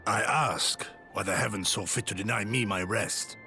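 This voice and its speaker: An actor playing a solemn, conscientious retainer who speaks in grave, formal, measured rhetoric in a video game drama. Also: male voice, on the low side, bright, forceful tone, faint music behind. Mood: grave appeal.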